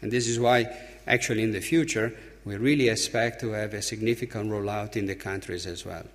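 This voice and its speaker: A man from Italy with an Italian accent gives a conference speech as an opening speaker, emphatically, with halting, deliberate pauses. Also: fairly low pitch, steady tone. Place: large conference hall. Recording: reverberant hall, long echo.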